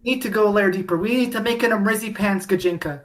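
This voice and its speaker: A woman's voice: medium pitch, fast. A woman guest on a podcast, chatting playfully and with enthusiasm about her fan game. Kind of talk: casual podcast chat.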